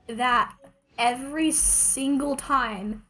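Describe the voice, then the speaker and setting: A woman's voice: high-pitched, slow, bright, forceful tone. A woman chatting casually as she plays a game on stream, thinking aloud with playful exasperation. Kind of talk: casual gameplay commentary to stream viewers.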